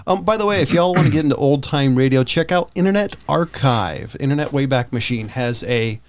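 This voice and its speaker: A man's voice: medium pitch, expressive, a little fast. A man hosting a podcast in a casual, chatty style. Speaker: podcast host.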